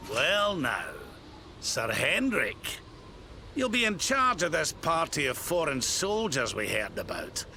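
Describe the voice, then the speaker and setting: A man's voice: fairly high pitch, very animated, takes it slowly, pushed and bright, slight background noise, full character voice. A man voicing a cocky, sardonic rogue in a video game, with a teasing drawl. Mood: wry, teasing.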